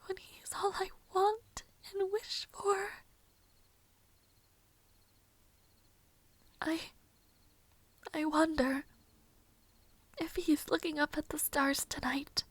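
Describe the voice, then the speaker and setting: A woman voicing a gentle, anxious, lovelorn young maid in an intimate fantasy audio roleplay, speaking softly and breathily with hesitant, old-fashioned formal phrasing. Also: very high voice, no room sound. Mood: wistful, heartbroken. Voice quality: soft, breathy, often near whisper.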